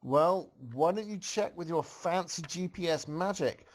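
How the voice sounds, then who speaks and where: Brash cartoon pony mare voiced by a man, sarcastic and breezy, game for anything, somewhat raised pitch. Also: measured pace. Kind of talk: amateur comic fan fiction read-aloud.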